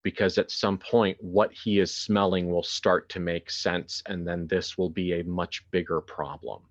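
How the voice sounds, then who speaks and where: Guy running a horror rpg, really slow dramatic narration with pauses, bit muffled sounding. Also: low-pitched, steady tone. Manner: deliberate, dramatic, halting with pauses. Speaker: game master. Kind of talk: horror tabletop game narration, improvised.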